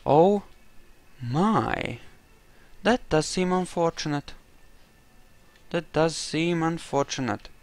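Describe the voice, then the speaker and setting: A man's voice: fairly high pitch, animated, slow pace, pauses often, dry recording. A man giving casual roleplay playthrough commentary, musing hesitantly as he thinks aloud about what his character believes. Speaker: gaming video host.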